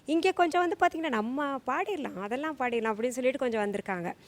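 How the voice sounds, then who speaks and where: High-pitched woman judging singers. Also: expressive, quick pace. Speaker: singing contest judge. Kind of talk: unscripted judging and panel chat.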